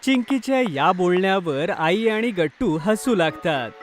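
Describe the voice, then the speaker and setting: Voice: medium pitch, animated, a little slow, music in the background. A narrator reading a scripted children's story.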